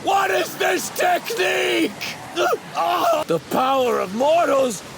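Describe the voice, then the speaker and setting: An actor playing an arrogant, self-righteous god who scorns mortals, with pompous, formal diction in a theatrical anime dub. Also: male voice, extremely high pitch, slow, gravelly, shouting, full character voice. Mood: enraged, disbelieving. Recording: noisy clip with overlapping sound effects. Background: anime sound effects.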